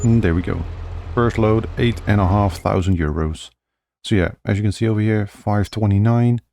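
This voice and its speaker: A man giving relaxed, casual gameplay commentary, thinking aloud with many fillers, his voice a little worn as if he is losing it. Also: on the low side, normal speed, a little hiss or hum. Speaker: gaming channel host.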